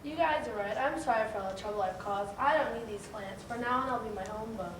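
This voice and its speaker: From across an echoey stage comes a woman as an evil little ant, high-pitched and boastful. The villainous bug declaims with menace for a children's play, picked up from a distance. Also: quick pace, natural voice. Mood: sorry. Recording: echoey stage, distant mic, background noise.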